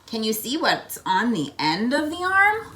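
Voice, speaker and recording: A woman reading a children's picture book aloud in a playful, expressive way, prompting young listeners with questions. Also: a little high, a little slow. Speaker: children's read-aloud host.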